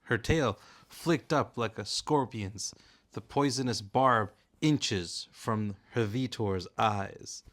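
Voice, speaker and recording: A man narrating a storybook aloud in a deliberate, expressive, dramatic way. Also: fairly low pitch. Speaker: narrator, father reading aloud.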